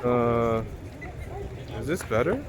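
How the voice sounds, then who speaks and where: A man's voice: mid-range voice, animated, slow pace, a loud crowd. A man interviewing someone in a casual, conversational way, asking simple questions. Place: outdoors in the city. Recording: noisy outdoor city street with traffic.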